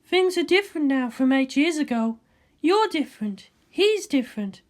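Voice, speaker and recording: A man voicing a cool, sensible woman scientist with a measured, dryly chiding manner in a fan fiction reading. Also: extremely high pitch, very animated, voice pushed up. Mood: earnest, advising.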